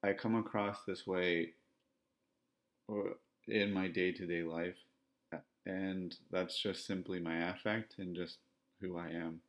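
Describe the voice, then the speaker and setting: A man from Ontario speaking in a podcast monologue, with a self-described monotone, flat delivery and measured, repetitive phrasing. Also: low voice. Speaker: podcast host.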